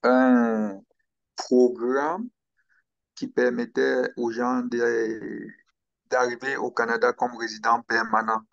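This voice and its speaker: Slow, gravelly man from Cameroon. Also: medium pitch, flat delivery, dry recording, dull audio. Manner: slow, hesitant, with pauses and restarts. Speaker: guest. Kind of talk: interview, personal account.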